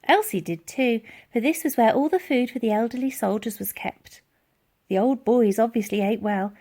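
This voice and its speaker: A woman reading a children's book aloud as dramatic, theatrical narration. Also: medium pitch. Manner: expressive, theatrical. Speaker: storybook reader.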